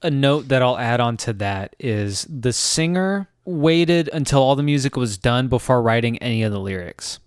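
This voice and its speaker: A young man chats casually on a podcast, thinking aloud and drifting into asides. His voice is smooth and resonant at a middling pitch. The sound is close and dry, with no room around it. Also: normal speed, few pauses, no room sound. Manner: relaxed, musing, trails off into asides. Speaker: podcast co-host. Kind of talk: casual music chat.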